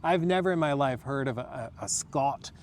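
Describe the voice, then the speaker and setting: A man's voice: mid-range voice, moderate pace, slight background noise. A young American man hosting a casual gaming chat, rambling with lots of fillers and reading passages from a wiki. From United States. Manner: rambling, filler-heavy, laughs often. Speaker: podcast host. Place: outdoors on grass.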